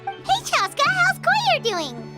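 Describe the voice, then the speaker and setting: A woman voicing a small, childlike fairy companion in a video game, squeaky, earnest and eager to help. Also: huge pitch swings, slow, over loud music, full character voice. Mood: eager, curious. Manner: refers to self in third person, earnest.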